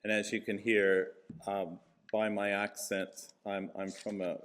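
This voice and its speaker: A mature American man with a fairly low voice speaks to a conference as an invited church leader. His delivery is measured and reflective, leaving pauses as he shares personal stories in an informal way. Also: level tone, a bit bright. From Kansas, USA. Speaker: church moderator, guest speaker. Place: conference venue.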